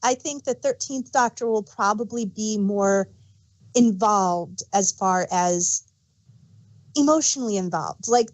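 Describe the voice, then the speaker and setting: A woman's voice: medium pitch, a little slow, poor audio. A woman co-hosting a casual fan discussion, rambling and searching for words as she gives emphatic examples. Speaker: podcast co-host.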